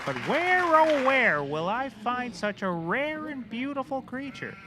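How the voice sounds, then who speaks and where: An actor playing a clueless, eager private detective in a scripted audio comedy, excitable, earnest and oblivious. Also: male voice, very high voice, unhurried, a crowd in the background, noisy background, exaggerated voice. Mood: wistful.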